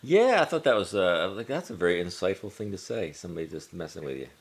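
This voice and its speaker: A man's voice: low voice, very animated, quick pace, breathy edge. A man co-hosting a casual podcast, telling stories in a laid-back, profane way with many restarts. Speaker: podcast co-host. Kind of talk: casual chat.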